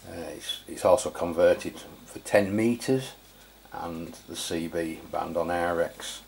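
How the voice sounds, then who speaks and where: A man's voice: sits low, takes it slowly, vocal fry. A man giving an informal, matter-of-fact product review as a channel host.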